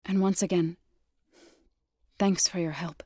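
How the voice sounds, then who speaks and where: Low-pitched, earnest warrior woman. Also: steady tone, measured pace, mellow, slightly rough, no room sound, studio quality. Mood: earnest, steady. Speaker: video game character. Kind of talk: scripted video game dialogue.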